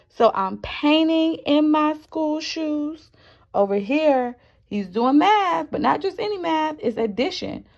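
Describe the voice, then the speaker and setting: A woman reads to young children in a fairly high voice at a slow, deliberate pace. She is expressive and encouraging, turning to the listeners with questions like a classroom teacher. The recording sounds a little dull and lo-fi. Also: gravelly. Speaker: teacher reading a picture book aloud.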